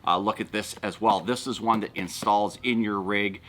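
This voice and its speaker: A man's voice: lowish voice, steady tone, normal speed, fairly bright tone, a little hiss or hum. A man giving casual, matter-of-fact unboxing commentary, explaining things as a vlog co-host.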